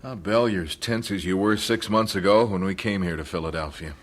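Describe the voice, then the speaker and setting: A man playing a concerned, gentle missionary husband in an audio drama, speaking conversationally. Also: sits low, brisk.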